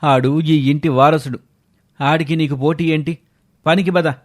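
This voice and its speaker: Man playing strict village dad in a story, gruff n threatening, bit high for a guy. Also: fairly even intonation, unhurried, natural voice. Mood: dismissive. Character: stern, scolding village father favouring his son. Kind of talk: dramatised moral story acting.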